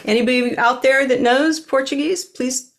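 A woman's voice: high voice, fairly even intonation, moderate pace. A woman in her sixties hosting a casual podcast chat over a video call from her home, talking in an earnest, chatty way. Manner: chatty, earnest, gestures with hands while talking. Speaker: animal communicator and podcast host. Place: home room with bookshelves. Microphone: webcam on a video call.

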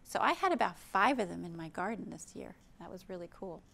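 A woman in her fifties hosting a children's storytime, speaking gently and expressively in simple phrases, with questions for young listeners. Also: lowish voice, animated, fairly quick, gravelly. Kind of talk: read-aloud storytime for young children, with chat and song. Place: indoor library program room.

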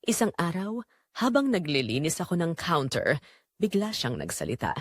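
Woman as reflective young barista, lively intonation. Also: on the low side, moderate pace, no echo, clean studio sound. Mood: tender, wistful. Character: young female graduate barista, reflective, quietly romantic. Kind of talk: first-person scripted audio drama narration.

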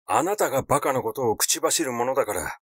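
A man's voice: mid-range voice, expressive, fairly quick, a bit bright, slightly rough, no echo, studio quality. A man voicing an irascible doctor of fifty-five in a story, stiff, formal and accusing. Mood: angry. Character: pompous, irascible fifty-five-year-old male doctor.

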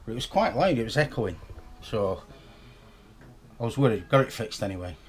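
A man's voice: on the low side, animated, moderate pace. A middle-aged man with a Scottish accent, from the north east of Scotland, chatting casually to a handheld camera about his day. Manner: chatty, rambling, self-filming. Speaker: vlogger. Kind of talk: casual vlog chat to camera. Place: home living room and outdoors, parked car. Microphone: handheld camera at arm's length.

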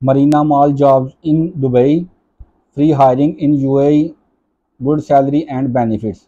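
Flat-voiced man guiding step by step. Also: medium pitch, muffled recording. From Pakistan. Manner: step-by-step instructive, reads on-screen items slowly. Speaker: job-application tutorial host. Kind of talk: screen-recorded walkthrough. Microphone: voice-over over screen recording.

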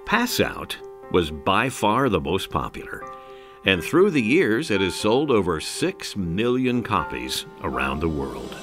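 A low-voiced man narrates a documentary from a script. His delivery is very animated in pitch, and music plays behind him. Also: unhurried.

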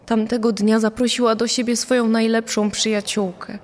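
A woman's voice: a little high, level tone, normal speed, a little mellow, slight background noise, close to an everyday voice. A woman voicing the mother of a missing young girl, giving read testimony for a true crime podcast. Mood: recounting.

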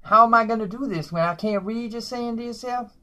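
A man's voice: very high-pitched, fairly quick, pushed and bright. A man playing a boastful, broke woman who lives in a dumpster, tacking "y'all" onto nearly every phrase in a comedy monologue. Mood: brash.

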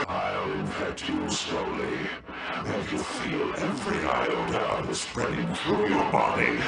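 Echoing, sadistic video game virus villain. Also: male voice, medium pitch, gravelly, heavily acted. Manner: theatrical, taunting, drawn-out delivery. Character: menacing, sadistic male virus villain, gloating and doom-laden. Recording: echoing, distant game audio.

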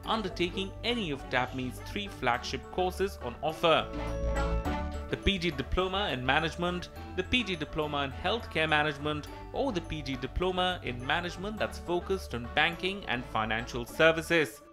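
A man reading a scripted promotional voice-over narration in a measured way. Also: fairly high pitch, expressive. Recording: voice-over over loud music.

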